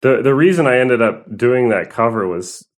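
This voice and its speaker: A man's voice: medium pitch, expressive, moderate pace. Rambling young man. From Southern California. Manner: rambling, hesitant, with many fillers and laughs. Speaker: guest musician. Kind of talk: casual interview.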